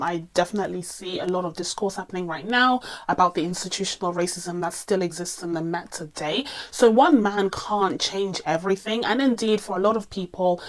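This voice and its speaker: A woman vlogger speaks in a slightly low voice that sounds under the weather and strained. She explains things earnestly in a casual review style, repeating words for emphasis. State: sick, voice strained from a cold or illness. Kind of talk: casual spoken review to camera.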